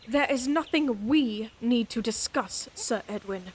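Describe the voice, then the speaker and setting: A woman acting as a high-voiced lady knight in a fantasy audio drama, proud and easily indignant. She speaks in formal, haughty phrases with a cutting edge. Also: a little slow, slight background noise, close to an everyday voice. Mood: angry.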